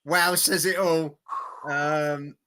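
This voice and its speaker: A man hosting a boxing watch-along in casual, blokey, sweary live chat, repeating himself for emphasis, his voice going hoarse. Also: a little high, fairly even intonation, drawn-out words, a crowd in the background.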